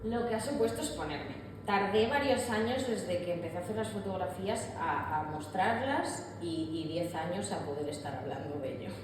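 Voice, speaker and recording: A woman giving a candid, reflective public talk, often pausing and restarting her sentences. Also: on the low side, expressive. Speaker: artist speaker. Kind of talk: public talk on mental health. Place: large echoey hall. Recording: echoey hall with long reverb, audible room noise.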